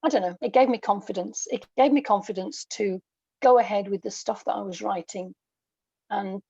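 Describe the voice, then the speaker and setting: A woman in her sixties speaking as an interview guest, thoughtful and hesitant, pausing mid-sentence as she reflects. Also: medium pitch, gravelly, dark tone, no room sound, dull audio. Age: 60s. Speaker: guest, audio drama writer.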